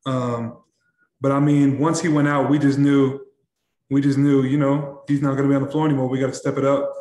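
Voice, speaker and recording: Young man, halting, echoey press room. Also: mid-range voice, flat delivery, mellow. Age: young adult. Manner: halting, repeats words, many fillers. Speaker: basketball player. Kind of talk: postgame press conference answers. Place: press room in front of a backdrop.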